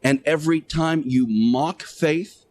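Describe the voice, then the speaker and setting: A man's voice: on the high side, darkish tone. Emphatic man preaching a radio monologue. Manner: emphatic, preachy, deliberate rhetorical repetition. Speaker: radio show host playing Jesus.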